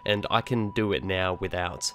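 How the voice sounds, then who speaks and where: A man's voice: low-pitched, normal speed, fairly bright tone. A young man giving a chatty, rambling spoken review, his voice a little nasal as he recovers from being sick. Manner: chatty, rambling, many ums and restarts. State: recovering from illness, slightly nasal. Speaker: video reviewer.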